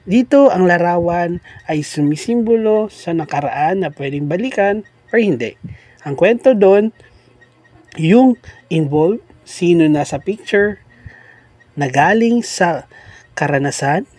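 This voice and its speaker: A man's voice: high voice, expressive, slow pace, long pauses, darkish tone, no echo. A man with a Filipino accent speaks as the host of a bedtime storytelling show. He presents listener letters and his own remarks, slipping between two languages as he goes. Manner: switches between Tagalog and English. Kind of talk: reading listener letters aloud.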